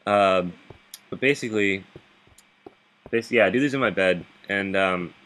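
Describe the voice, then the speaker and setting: A man with a very deep voice giving rambling gameplay commentary from his bed into a laptop microphone, chuckling often and restarting his sentences. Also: a little slow, a bit bright. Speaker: gaming video host. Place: bedroom, sitting up in bed.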